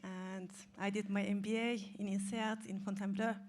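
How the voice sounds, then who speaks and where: A woman from Turkey, introducing herself softly to new colleagues. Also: mid-range voice, little pitch movement, normal speed.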